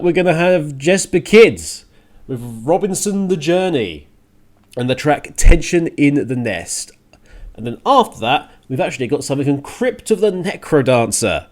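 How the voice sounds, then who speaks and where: A man hosting a radio show, talking in an earnest, emphatic monologue with deliberate pauses and repeated phrases. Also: medium pitch, darkish tone. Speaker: radio show and podcast host. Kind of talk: spoken opinion monologue on a music radio show.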